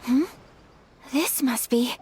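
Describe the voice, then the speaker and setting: A woman voicing a polite, gentle young noblewoman in a video game, speaking in a formal, measured and earnest way. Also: high-pitched, slight background noise. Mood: gracious.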